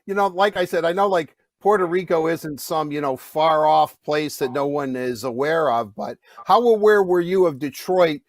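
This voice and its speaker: A man with a big, loud voice hosting a podcast, asking long, rambling questions in casual chat. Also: a little high, moderate pace, few pauses, no echo. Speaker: podcast host interviewing a player.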